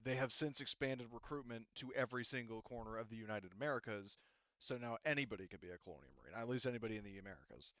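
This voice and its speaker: A man's voice: medium pitch, animated, quick pace, a bit bright, no room sound. Give me a casual man game master explaining lore, on a muffled dry recording. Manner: casual, explanatory, rambling fillers.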